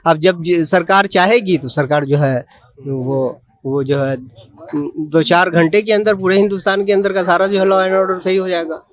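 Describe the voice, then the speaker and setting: A man's voice: sits high, normal speed. A man giving his opinion in an impromptu interview, emphatic and repetitive, leaning on a filler phrase. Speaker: member of the public being interviewed.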